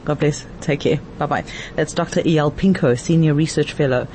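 Man, interview host, fairly high voice, conversational questioning, slight background noise. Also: normal speed, darkish tone.

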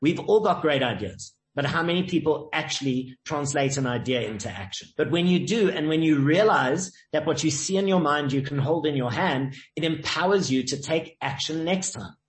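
A man's voice: a little high, lively intonation, moderate pace, hardly any pauses. A man talking as an interview guest, confident and emphatic, driving points home with sayings and stories. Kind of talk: motivational interview talk. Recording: echoey room.

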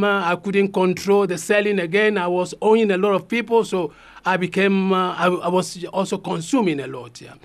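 A middle-aged man from Ghana, telling his life story as a radio guest, halting with many fillers and repeated words. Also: sits high. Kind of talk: radio interview, personal story.

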